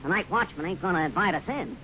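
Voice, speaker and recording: A man's voice: high voice, lively intonation, fast, sharp tone. A man playing a sour, doubting thug sidekick with an American tough-guy way of talking in a 1950s radio western. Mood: sour, doubtful. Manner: grumbling, ungrammatical tough-guy talk. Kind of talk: 1950s radio villain acting. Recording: muffled, slightly noisy old radio recording.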